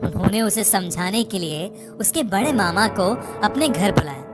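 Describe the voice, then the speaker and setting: A woman's voice: medium pitch, expressive, moderate pace. A woman reads a scripted horror story as its narrator. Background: loud music.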